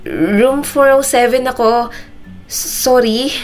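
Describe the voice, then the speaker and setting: A woman's voice: a little high, brisk, faint music behind, lightly acted. A woman voicing an emotional young woman in an audio story, sometimes stammering when upset. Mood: tearful. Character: distressed young woman, giggly then tearful and apologetic.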